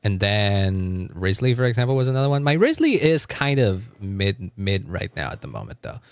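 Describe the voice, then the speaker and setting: A man's voice: fairly low pitch, huge pitch swings, normal speed, smooth, no room sound, clean studio sound. A man live streaming in a casual, rambling way, thinking aloud and often chuckling as he chats with viewers. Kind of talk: casual gaming livestream chat.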